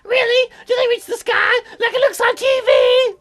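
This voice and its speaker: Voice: extremely high pitch, fairly even intonation, moderate pace, creaky, a bit bright, faint music behind, pitched above the performer's own voice, full character voice. A performer voicing a naive, ditzy teenage girl in a dating sim game, with exaggerated gushing wonder. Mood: gushing, curious.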